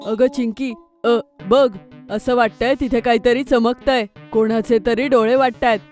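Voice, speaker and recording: A woman's voice: a little high, fairly even intonation, measured pace, a little mellow, faint music behind, lightly acted. A woman voicing an eager but timid young boy in a children's cartoon. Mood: frightened.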